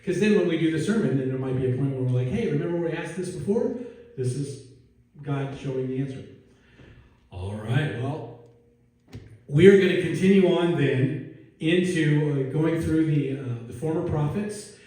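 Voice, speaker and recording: Pastor with a higher, mellow voice. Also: male voice, moderate pace, far from the mic. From Netherlands. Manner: casual, self-deprecating, asks the congregation questions. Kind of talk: conversational sermon. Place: church sanctuary. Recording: echoey church hall from afar.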